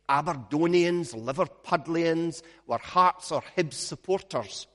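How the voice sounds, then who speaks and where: A Scottish man, a minister, leads a prayer and then preaches, telling stories with a measured pace and gentle humor. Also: mid-range voice, big-room sound. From Scotland. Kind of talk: sermon with opening prayer.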